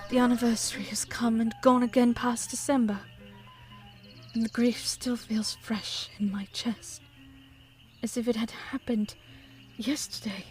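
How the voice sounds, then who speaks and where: Woman playing devoted old widow, higher pitched, formal wistful period drama storytelling, bit of music behind. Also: level tone, unhurried, a little mellow, natural voice. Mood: grieving. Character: grieving widow of a famed composer, older, devoted. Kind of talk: period audio drama narration and dialogue.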